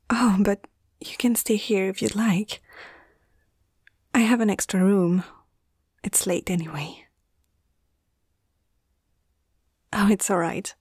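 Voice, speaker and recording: A woman playing a caring young female veterinarian in a soft, hushed ASMR roleplay, speaking gently and a little hesitantly. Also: mid-range voice, husky, no room sound, studio quality. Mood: protective, shy.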